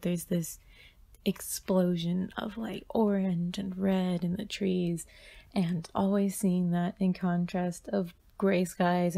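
A young woman speaks as a composer introducing her work to recital listeners. Her voice is on the low side and mellow, with a strong creak. She talks informally, in a reflective, sincere way. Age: young adult. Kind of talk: informal spoken programme introduction.

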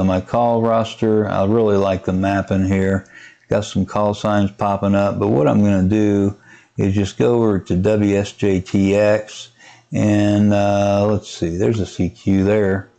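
A man giving a casual, unscripted software tutorial, hesitant and thinking aloud as he goes. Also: on the low side, level tone, unhurried, vocal fry. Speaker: amateur radio hobbyist giving a software tutorial. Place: indoors at a computer, voice-over of screen recording.

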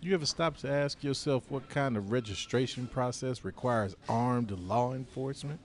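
Man acting a defiant, cornered fugitive informant, mid-pitched. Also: moderate pace, natural voice. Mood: bitter defiance. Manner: bitter, curt, streetwise, profane. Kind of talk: scripted audio drama dialogue.

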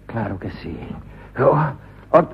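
A man's voice: on the low side, big pitch swings, takes it slowly, vocal fry, husky, full character voice. A man voicing a bumbling male inspector turned prisoner, hesitant and stammering, in a comic radio play. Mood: flustered. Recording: dull lo-fi radio recording.

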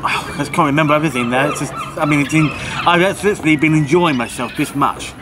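A man's voice: on the high side, fast talker, over music. A man in his thirties chatting cheerfully and rambling to the camera outdoors on a quay. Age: 30s. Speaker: trip participant interviewed. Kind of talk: casual chat to camera. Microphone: camera mic across a table. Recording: outdoor noise from traffic.

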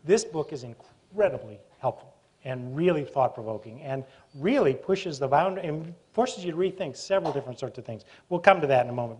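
A man's voice: fairly high pitch, slightly rough. Chatty middle-aged man moderating onstage. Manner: chatty, self-interrupting, wry. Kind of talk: moderated stage conversation. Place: university auditorium with live audience. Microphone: lapel mic.

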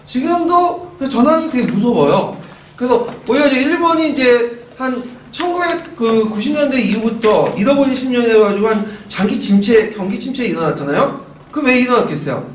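A middle-aged man lecturing in a classroom in a chatty, animated way, his voice slightly hoarse. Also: extremely high pitch, moderate pace, recorded from a distance, a little hiss or hum. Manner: animated, chatty, gestures while explaining. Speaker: lecturer for teacher-exam prep. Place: classroom with whiteboard. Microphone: far camera. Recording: roomy classroom from afar.

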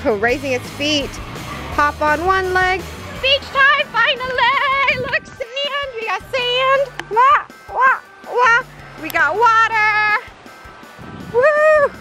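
A woman in her thirties vlogging excitedly on an outdoor beach, squealing, giggling and whooping often. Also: very high-pitched, takes it slowly. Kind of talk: excited travel vlog. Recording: loud background music.

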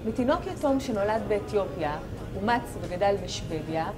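A woman interviewer narrating a restaurant feature in a brisk, lively voice-over. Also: mid-range voice, over music, rough recording. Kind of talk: documentary voice-over.

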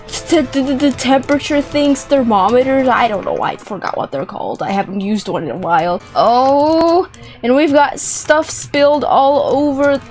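A young woman giving excited, playful gameplay commentary, animated and exclamatory, often repeating words for effect. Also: high voice. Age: young adult. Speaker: gaming video host. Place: small indoor room set up for streaming. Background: background music.

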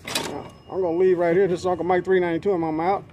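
A man hosts a casual video in a somewhat high voice. He talks quickly and informally from behind the camera, indoors, with slight ambient noise. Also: flat delivery, a little mellow. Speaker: video channel host. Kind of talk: casual vlog hosting. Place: indoors in a shop.

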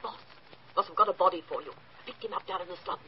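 Man as fast, high-voiced eager henchman. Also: lightly acted. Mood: gleeful. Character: eager, callous henchman phoning his boss. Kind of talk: 1940s radio acting. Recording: dull, noisy 1940s radio recording.

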